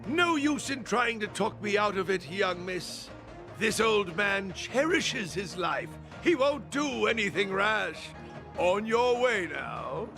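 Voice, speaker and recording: A man voicing a stubborn, dutiful old master craftsman in a video game, speaking formally and firmly with a creaky voice. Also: high voice, lively intonation, takes it slowly, over music, exaggerated voice. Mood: resolute, stoic. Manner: formal, firm, resolute.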